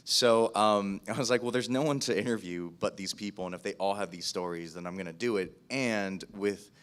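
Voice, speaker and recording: A man with a low voice and an American accent speaks casually as a podcast producer on a panel. He is self-deprecating and chatty, often acting out his own past thoughts as he goes. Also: fairly quick, fairly bright tone, clear, resonant tone. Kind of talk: casual spoken career story at a panel.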